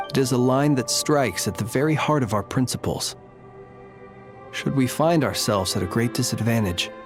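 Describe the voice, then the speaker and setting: An actor as a solemn, formal lightkeeper over music. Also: male voice, on the low side, natural voice. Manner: formal, measured, archaic diction. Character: solemn, courteous graveyard lightkeeper who senses ghosts. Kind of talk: video game voice acting.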